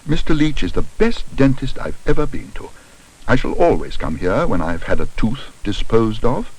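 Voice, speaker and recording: A man's voice: mid-range voice, sing-song, moderate pace, a little mellow, voice pushed up, rough recording. A man voicing a polite, earnest young bear who takes things literally, in a children's storybook. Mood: satisfied.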